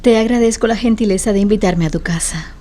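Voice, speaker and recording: A woman's voice: mid-range voice, quick pace, mellow, close to an everyday voice. An actress playing a gracious, thoughtful young unmarried woman in a Spanish-language radio drama, polite and reflective.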